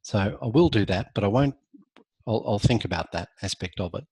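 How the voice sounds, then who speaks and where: A man giving a recorded university law lecture, his voice hoarse and husky as he loses it. He speaks a little low and goes at a measured pace, pausing on ums and correcting himself. The sound is dry and close. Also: animated, darkish tone, no echo. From Australia. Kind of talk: online lecture walkthrough.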